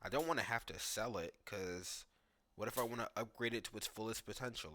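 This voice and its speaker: Male gaming commentator, lowish and bright-edged voice, off-the-cuff and informal, reacting to footage as he thinks aloud. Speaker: gaming YouTuber.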